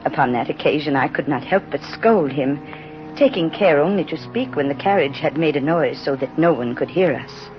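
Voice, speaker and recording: Gentle old spinster reading her letter, 1930s radio drama, dull vintage sound, music underneath. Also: deep voice, animated, normal speed. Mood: devoted, serene. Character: gentle, devout old woman, the bishop's sister. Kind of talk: letter read aloud, 1930s radio acting. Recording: muffled old radio recording.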